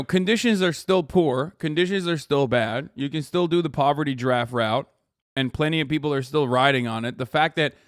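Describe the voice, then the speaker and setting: A man's voice: a little high, a bit bright, dry recording, studio quality. A man in his thirties from Turkey, ranting casually and profanely while reacting to clips as a streamer. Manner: profane, emphatic, rambling with restarts.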